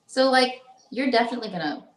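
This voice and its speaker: A young woman with a slightly higher voice, heard over a video call from an echoey room at home. She co-hosts in a casual, encouraging way and keeps reaching for "like" as she talks. The room's reflections are audible around her voice. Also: animated, quick pace. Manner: encouraging, says "like" often. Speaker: co-host.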